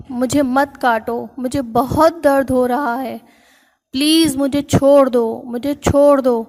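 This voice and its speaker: A woman voicing a big, boastful male elephant who cries loudly and begs. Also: sits high, in a large hall, natural voice. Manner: loud, pleading cries.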